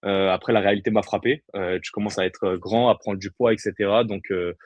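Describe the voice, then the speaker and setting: A man's voice: on the low side, fairly even intonation, fairly quick, no echo, highs cut off. A man in his twenties from the Paris region, chatting casually as an interview guest. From Paris region, France. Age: 20s. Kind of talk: casual chat.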